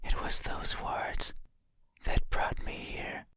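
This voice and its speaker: A creepy, slow, whispering monster. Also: pushed and bright. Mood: insistent, menacing. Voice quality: breathy whisper. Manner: slow, insistent, eerily deliberate. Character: creepy bedside monster, pleading, menacing, intimate whisperer. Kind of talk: horror game acting, ASMR-like whispering.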